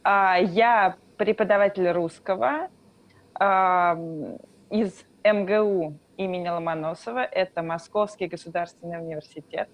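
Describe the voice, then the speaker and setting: A woman from Russia, a Russian teacher, explaining things calmly in a casual interview with drawn-out fillers. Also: fairly low pitch, lo-fi sound.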